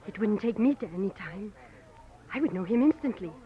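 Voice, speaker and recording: A woman's voice: medium pitch, expressive, a little fast, close to an everyday voice. An actress playing an anxious, guarded young French woman with a French accent and a hesitant, polite manner, in a 1940s radio drama. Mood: uneasy. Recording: muffled old radio broadcast recording.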